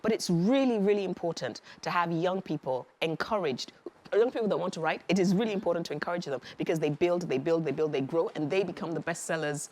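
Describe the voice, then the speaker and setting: A woman's voice: lowish voice, fast, darkish tone. A middle-aged Nigerian woman, an author being interviewed on TV, talking enthusiastically and repeating words for emphasis. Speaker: author and festival organizer. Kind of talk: TV studio interview.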